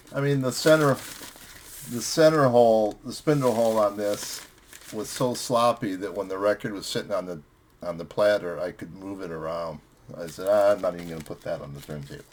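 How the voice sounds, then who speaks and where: Deep voiced guy hosting a music vlog, chatting casually about records, some background noise. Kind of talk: casual chat reviewing records with friends.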